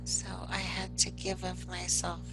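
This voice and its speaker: A woman speaking as a hypnosis client, giving earnest affirmations and halting short answers, with music behind her. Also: fairly low pitch, completely flat delivery, poor audio. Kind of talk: trance-like answers and spoken affirmations.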